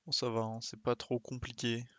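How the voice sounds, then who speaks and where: A man's voice: medium pitch, flat delivery, moderate pace, no room sound. A man giving casual live commentary as he plays a game, muttering short interjections as he thinks aloud. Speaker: game streamer.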